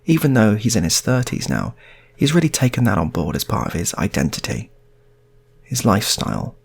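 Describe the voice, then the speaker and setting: A man's voice: lowish voice, huge pitch swings, brisk, creaky, husky. A man telling an improvised story in a casual, rambling way, often repeating his phrases. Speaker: storyteller, channel host.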